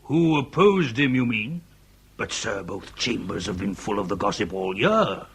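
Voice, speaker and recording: An actor playing a pompous, excitable old-fashioned gentleman who relishes ornate phrases in a period comedy radio drama. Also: fairly low pitch, a little slow, breathy edge, full character voice. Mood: gossipy relish.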